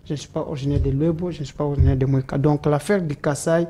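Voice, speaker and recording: A man, answering interview questions about a politician in a halting way with many fillers and restarts. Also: on the high side, steady tone, warm tone, slight background noise. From Democratic Republic of the Congo.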